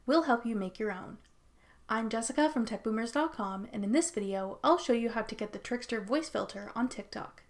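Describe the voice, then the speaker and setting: A woman's voice: a little high, expressive, a little fast. A woman narrating a scripted how-to tutorial as its host.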